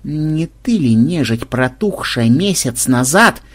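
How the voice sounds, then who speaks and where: A narrator voicing a sharp-tongued, suspicious female dark elf, mocking and snappish, in a fantasy audiobook. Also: mid-range voice, lively intonation, slow. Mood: suspicious, accusing.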